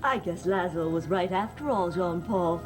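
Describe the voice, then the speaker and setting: A woman's voice: fairly low pitch, normal speed, natural voice. An actress playing an anxious, fond woman of the household, eager and emotional, in 1960s TV soap style. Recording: old TV soundtrack with music.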